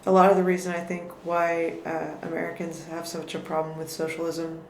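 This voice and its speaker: A woman's voice: deep voice, completely flat delivery, a little fast, a little mellow. A woman guest in a casual political conversation, thoughtful and careful with her words, often restarting her sentences. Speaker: podcast guest. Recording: echoey room with faint noise.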